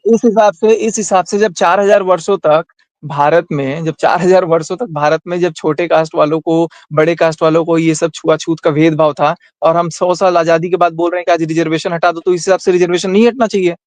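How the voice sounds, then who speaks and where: A man's voice: fairly high pitch, steady tone, fairly quick, darkish tone, dry recording. An Indian man arguing heatedly and insistently in a group discussion, often repeating his phrases. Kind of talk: heated argument in a group call.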